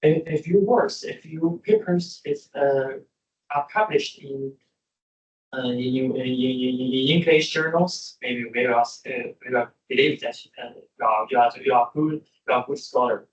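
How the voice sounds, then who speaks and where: A man's voice: medium pitch, unhurried, lo-fi sound. Hesitant man in an echoey room. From China. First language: Chinese. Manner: hesitant, many fillers and restarts. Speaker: student asking a question.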